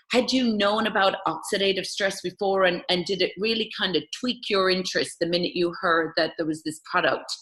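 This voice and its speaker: Low-voiced Irish woman interviewer. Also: a bit bright, poor audio. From Ireland. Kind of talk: conversational interview hosting.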